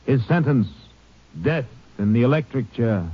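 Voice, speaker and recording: A man's voice: mid-range voice, measured pace. A man delivering scripted announcer narration in 1950s radio style. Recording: dull, noisy old radio recording.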